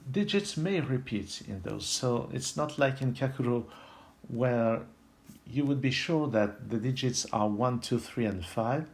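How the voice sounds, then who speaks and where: A man from France with a French accent, giving an unscripted puzzle tutorial in a halting, step-by-step way, thinking aloud. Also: mid-range voice. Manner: halting, pauses mid-sentence, explains step by step. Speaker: puzzle video host.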